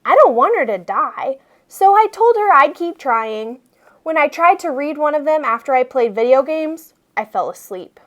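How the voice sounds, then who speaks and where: Woman as storybook schoolboy, cheeky, exasperated, high voice, swooping intonation, emphatic. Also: normal speed. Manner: expressive, emphatic children's storybook delivery. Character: reluctant schoolboy narrator who hates reading, cheeky, exasperated.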